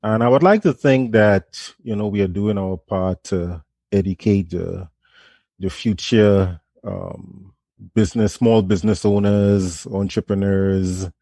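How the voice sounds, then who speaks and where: A man from Trinidad and Tobago with a Trinidadian accent, a management professor speaking softly and in a friendly way as a radio guest. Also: sits low, vocal fry, no echo. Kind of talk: radio interview.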